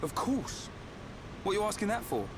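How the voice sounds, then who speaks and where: An actor playing a brash, loyal and straightforward young fighter in a video game. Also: male voice, high voice, very animated, normal speed, background noise. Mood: confident, blunt. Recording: game music behind voice.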